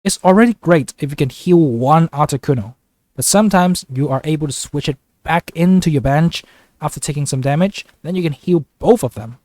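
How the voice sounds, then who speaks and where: Man, flu-congested voice, slightly high, upbeat chatty gaming commentary, dry close mic. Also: brisk, a little mellow, dry recording. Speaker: gaming YouTuber. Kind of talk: casual gameplay commentary.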